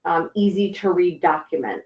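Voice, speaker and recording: A woman career counselor presenting a webinar, slightly hoarse, friendly, with frequent ums. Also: fairly low pitch, animated, moderate pace, a little mellow, roomy sound, dull audio. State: a little hoarse. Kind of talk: presentation lecture.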